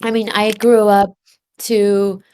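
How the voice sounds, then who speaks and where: A woman's voice: medium pitch, little pitch movement, takes it slowly, mellow, smooth. A middle-aged woman with an American accent co-hosting a casual chat in an appreciative, informal way. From Los Angeles, USA. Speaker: town hall co-host.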